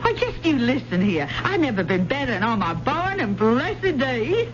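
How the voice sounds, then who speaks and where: Lively Southern country fiddler gal. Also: female voice, a little high, moderate pace. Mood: folksy, proud. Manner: folksy, drawling country speech. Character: folksy, spirited country fiddler woman, proud and quick-witted. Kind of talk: old-time radio drama acting. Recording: muffled, noisy old radio recording.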